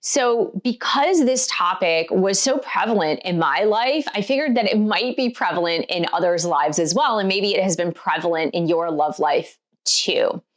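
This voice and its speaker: A middle-aged woman with a slightly hoarse voice, hosting her own author vlog and speaking candidly and reflectively about her past relationships. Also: lowish voice, lively intonation, normal speed, few pauses. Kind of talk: personal vlog talk to camera.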